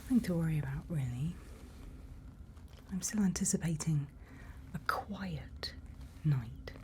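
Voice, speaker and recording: A woman playing a calm, reassuring monster hunter on night watch in a soft, whispered ASMR roleplay, speaking with long pauses. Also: deep voice, noisy background. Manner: hushed, soft-spoken, slow with long pauses.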